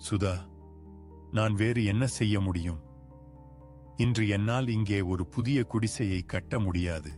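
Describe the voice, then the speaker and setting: A man's voice: lowish voice, measured pace, long pauses, a little mellow, natural voice. A man voicing a poor village laborer and father, speaking earnestly and pleading, with music behind him. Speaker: voice actor. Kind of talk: dubbed cartoon acting.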